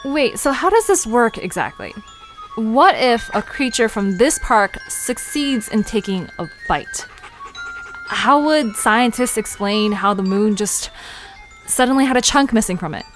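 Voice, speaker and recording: A woman's voice: fairly high pitch, expressive, unhurried, soft background music, rough recording. A woman playing a curious, teasing young park visitor in an audio drama, chatting in a casual, playful way. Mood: curious, amused. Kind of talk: conversational audio drama acting.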